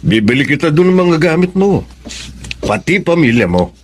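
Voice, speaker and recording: An indulgent Filipino boss sweet-talking his secret lover. His mid-pitched voice swings widely, suave and coaxing in radio drama style, with faint noise behind it. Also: very animated, moderate pace. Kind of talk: Tagalog radio drama acting.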